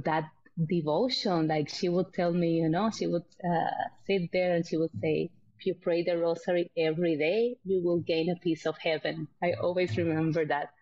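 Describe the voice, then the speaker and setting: Lower-voiced Puerto Rican woman, dull audio. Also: warm tone. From Puerto Rico. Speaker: podcast guest, herbalist and women's health educator. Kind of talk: reflective personal storytelling.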